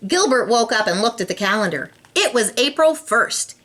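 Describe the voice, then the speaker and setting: A woman's voice: fairly high pitch, lively intonation, moderate pace, fairly bright tone, a little hiss or hum. A woman reading a children's storybook aloud, expressively and speaking directly to young listeners. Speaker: storybook reader.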